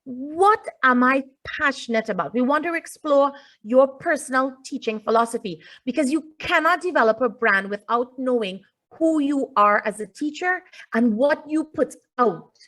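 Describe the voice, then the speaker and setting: Higher-pitched woman presenting a webinar. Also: lively intonation, normal speed, few pauses, a bit bright. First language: English. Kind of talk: informal online lecture, addressing audience. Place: indoors, online video call.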